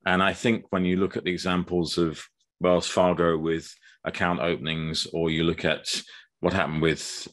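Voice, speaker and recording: Older English guest author, very low voice, measured video-call explainer. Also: male voice, flat delivery, fairly bright tone. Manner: measured, explanatory, with frequent ums. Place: dim room indoors.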